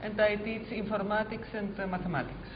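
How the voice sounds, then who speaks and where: A man from Greece with a Greek accent, a teacher answering interview questions in English at a measured pace with frequent fillers. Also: sits high, flat delivery, background noise. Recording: roomy hall, dull lo-fi sound.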